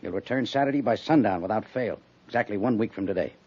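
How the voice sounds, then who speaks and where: An American man playing a brisk, stern but understanding army major in a 1950s radio drama. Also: fairly low pitch, warm tone, husky. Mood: firm, official. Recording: muffled 1950s radio recording.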